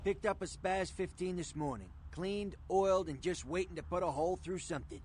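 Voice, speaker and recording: A man's voice: high voice, fairly quick, darkish tone, slight background noise, lightly acted. A man playing an offhand, streetwise underworld gun dealer in a video game. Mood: offhand, eager.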